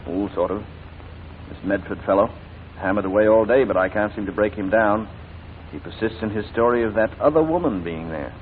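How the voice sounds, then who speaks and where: A man's voice: on the low side, a little fast, lightly acted. A man playing a shrewd, proud English barrister in 1940s radio drama, articulate and formal. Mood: drowsy, casual. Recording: tinny, noisy 1940s radio recording.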